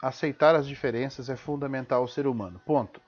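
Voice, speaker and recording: A man's voice: mid-range voice, fairly quick, darkish tone, slightly rough. A man with a Brazilian accent giving an informal spoken lecture in an emphatic, didactic way, punctuated with rhetorical questions and short check-in tags. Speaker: host of a live-streamed talk. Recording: dull, lo-fi live stream audio.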